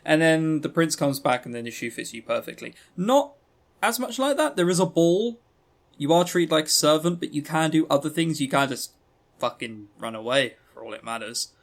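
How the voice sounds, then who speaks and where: A man's voice: a little high, lively intonation, normal speed. Man in casual, sweary podcast chat. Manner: casually sweary, wry, self-deprecating. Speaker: podcast host reviewing visual novels. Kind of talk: casual rambling review talk.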